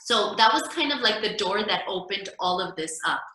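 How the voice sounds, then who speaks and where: A middle-aged woman coach, a podcast guest, telling her story in an earnest, steady way over a remote call from home. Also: mid-range voice, moderate pace. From United States. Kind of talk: casual podcast interview. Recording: echoey room.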